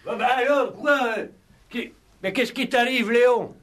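An actor playing a slow-witted, over-familiar old village policeman in a French radio play, naive, rustic and bewildered. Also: very high voice, a bit bright. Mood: startled, hollering. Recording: vintage 1960s radio recording.

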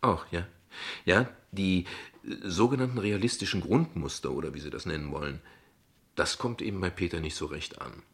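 A man playing an evasive, ironic father in a radio play, speaking wordily and with hesitations, in a husky voice. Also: sits low, normal speed, a bit bright. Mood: sardonic, defensive. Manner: wordy, sardonic, deflecting, with hesitations. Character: evasive, ironic, verbose father defending his unconventional family. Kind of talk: dialogue radio play acting in standard German.

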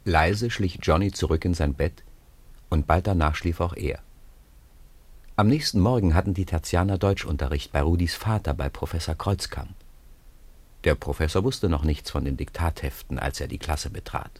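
A man narrating a radio play as a calm storyteller, in a measured, literary manner. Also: low-pitched, breathy edge, no echo. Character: calm male storyteller of a school tale.

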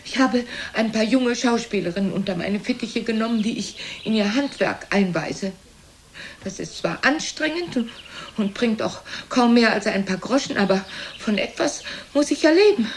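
A woman's voice: a little high, animated, moderate pace, slight background noise, natural voice. An older actress playing an aging, self-pitying, reproachful mother in a theatrical German radio drama, lamenting in a plaintive, dramatic way. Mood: defensive, scornful. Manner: plaintive, dramatic, repetitive lamenting with swings in loudness.

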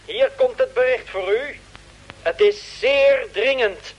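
A woman playing a formal, insistent ground control radio operator in a vintage radio drama, speaking in measured calls. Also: a little high, over loud music. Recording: muffled, noisy vintage radio transmission.

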